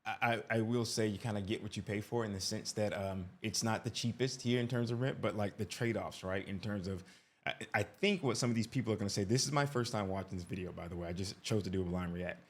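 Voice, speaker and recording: A man's voice: on the low side, fast. A man giving casual reaction commentary as an expat vlogger, talkative, often tagging "right?" and restarting his sentences.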